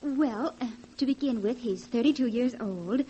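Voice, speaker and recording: A woman's voice: a little high, moderate pace, warm tone, breathy edge. A young woman playing a starry-eyed, gushing, lovestruck sister in a 1940s radio drama. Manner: gushing, breathless enthusiasm. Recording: dull, noisy vintage radio recording.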